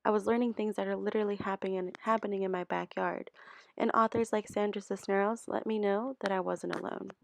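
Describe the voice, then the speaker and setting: A woman's voice: mid-range voice, fairly even intonation, a little fast, creaky, a little hiss or hum. A woman in her twenties from El Salvador, earnestly reading a scripted narration about teaching. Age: 20s. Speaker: student teacher. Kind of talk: scripted narration for a class video.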